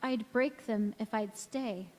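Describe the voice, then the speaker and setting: A woman's voice: on the high side, slow pace, darkish tone. A woman acting in a stage radio play as an anguished, devoted young wife who is cursed never to age, speaking in an earnest, melodramatic way. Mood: desperate, resolute. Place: large reverberant church or hall. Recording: echoey hall, music behind.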